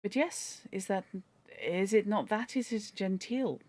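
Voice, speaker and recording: A woman's voice: medium pitch, animated, measured pace, darkish tone, voice pushed up. A woman voicing a tearful aristocratic lady who speaks in broken, formal English. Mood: fussy. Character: tearful aristocratic French lady, middle-aged.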